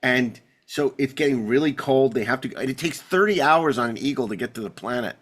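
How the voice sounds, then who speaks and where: A man talks as a podcast host in loose, casual conversation, at a middling pitch. He rambles with some excitement, often doubling back and repeating words as he builds his point. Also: brisk. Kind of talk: casual chat about sci-fi television.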